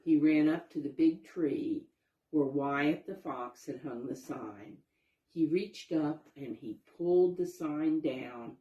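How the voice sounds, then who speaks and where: Low-voiced woman reading to children, unhurried. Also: dark tone, muffled recording. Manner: explanatory, addressing young children. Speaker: storybook reader, teacher. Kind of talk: children's read-aloud narration with asides. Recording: roomy, echoing sound.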